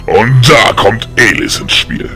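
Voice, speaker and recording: A cruel, scarred fantasy tyrant, performed by a male narrator, speaks far lower than everyday talk. His voice is harsh and grating, his manner commanding and mocking. Music plays beneath this audiobook villain. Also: slow pace, bright, forceful tone. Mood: cold menace. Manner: commanding, menacing.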